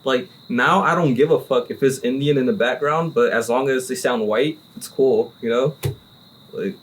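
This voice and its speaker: A man hosting a casual cigar podcast, telling a story in an animated way and peppering his talk with "like" and "right?". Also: mid-range voice, moderate pace, slight background noise. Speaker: podcast host. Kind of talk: casual chat. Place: relaxed cigar-smoking hangout with friends.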